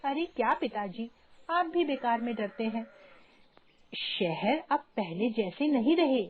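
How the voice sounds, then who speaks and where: A woman's voice: fairly high pitch, lively intonation, takes it slowly, a little hiss or hum. A woman voicing a determined, respectful young daughter in a Hindi moral story, earnest and pleading. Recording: dull lo-fi audio, music behind.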